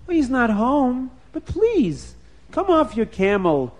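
A man voicing a kind, hospitable young wife who speaks eagerly and warmly. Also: a little high, very animated, takes it slowly, pitched above the performer's own voice, full character voice. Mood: welcoming. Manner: eager, welcoming.

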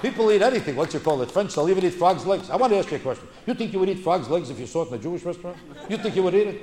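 A man's voice: sits high, animated, very fast, dark tone. An American man performing stand-up comedy in an indignant, emphatic ranting style, repeating phrases for punch. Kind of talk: stand-up comedy routine, ranting observational bits. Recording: echoey live room, clearly noisy recording.

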